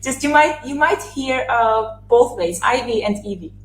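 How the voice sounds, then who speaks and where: A woman's voice: fairly high pitch, expressive, a little slow, echoey room, slight background noise, low-quality recording. A woman in her thirties, born in Serbia and raised in Austria, telling her life story as a guest on a video call, with many fillers and restarts. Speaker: interview guest. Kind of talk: casual conversational storytelling.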